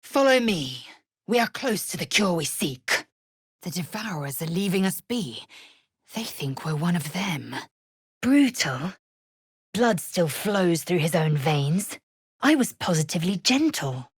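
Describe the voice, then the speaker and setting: An actress voicing a fierce, proud alien warrior woman in a video game, husky and clipped, with a haughty formal delivery. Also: fairly low pitch, big pitch swings, a little slow, no echo, studio quality, full character voice. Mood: urgent, commanding. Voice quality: husky, breathy rasp. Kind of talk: video game character acting.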